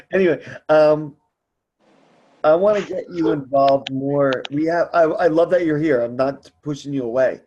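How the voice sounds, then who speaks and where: A man's voice: on the high side, measured pace, mellow, lo-fi sound, a little hiss or hum. A man speaking casually as host of a support group conference call, earnest, encouraging and a bit rambling.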